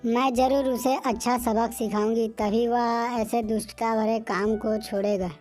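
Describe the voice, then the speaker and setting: An earnest little storybook bird, acted by a woman, pleads and lectures in a very high voice. The delivery stays flat and even, with music underneath, as this hardworking creature makes her moral case. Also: measured pace, close to an everyday voice. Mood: upset, resolute. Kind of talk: Hindi animated moral story dialogue.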